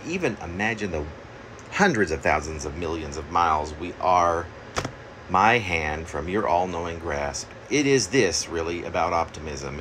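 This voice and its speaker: A man reading his own poem aloud, haltingly, repeating phrases like an incantation, recorded on a phone in a room at home. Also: low-pitched, noisy background. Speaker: poet reading own work. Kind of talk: poetry reading. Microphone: phone at arm's length.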